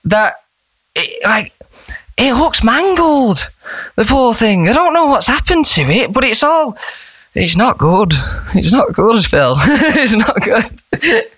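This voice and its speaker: A young man, a band member chatting as a podcast guest, telling rambling, animated stories. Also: very high-pitched, slow, dry recording. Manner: animated, rambling storyteller with laughs. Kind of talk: casual storytelling chat.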